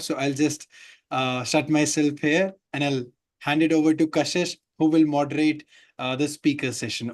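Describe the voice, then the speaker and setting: A man opening a webinar series on a video call, then handing over to the moderator. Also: on the high side. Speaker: webinar organizer. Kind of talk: webinar introduction.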